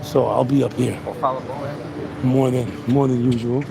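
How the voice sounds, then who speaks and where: A man with a hoarse voice walking through town and chatting casually about the street and the places around him as he films. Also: mid-range voice, level tone, measured pace, noisy background. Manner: rambling, laid-back, chatty tour commentary. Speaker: vlogger. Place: outdoors on a busy town street. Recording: outdoor street recording with music. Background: music from restaurants.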